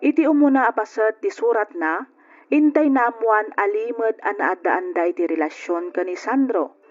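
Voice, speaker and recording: A woman's voice: mid-range voice, moderate pace. A woman with a Filipino Ilocano accent giving scripted narration as the host of a radio drama. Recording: dull lo-fi radio with background music. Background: faint music.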